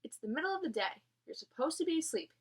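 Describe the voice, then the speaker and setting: A woman's voice: high-pitched, very animated, quick pace, voice pushed up. A woman voicing a mother skunk in a storybook, firm and motherly with her young. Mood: scolding. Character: mother skunk, firm, scolding yet comforting parent.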